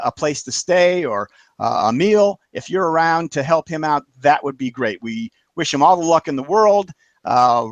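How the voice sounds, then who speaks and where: A man hosting a web show, wrapping up with halting, filler-heavy announcements and friendly thanks to panelists and viewers. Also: on the high side, no echo. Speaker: show host.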